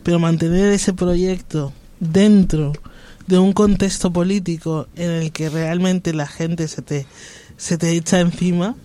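A man's voice: high-pitched, steady tone, measured pace, dark tone. A man speaking reflectively in a group discussion, often restarting his sentences. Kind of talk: discussion about psychiatry.

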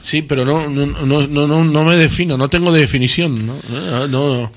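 A man's voice: a little high, steady tone, moderate pace. A man co-hosting a radio show, chatting casually, rambling, repeating himself and trailing off.

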